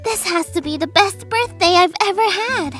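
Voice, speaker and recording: Tiny, very high girlish voice. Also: normal speed, fairly bright tone, faint music behind. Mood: grateful. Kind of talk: amateur visual novel voice acting.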